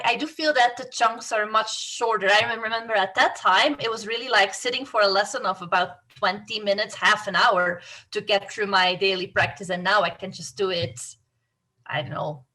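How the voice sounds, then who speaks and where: A woman's voice: mid-range voice, sharp tone, low-quality recording. A woman from northern Belgium with a Flemish accent and a native Dutch tongue, chatting as a guest in a casual interview in a friendly, chatty way. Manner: friendly, chatty, with light laughs and fillers. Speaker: guest, civil engineering professor.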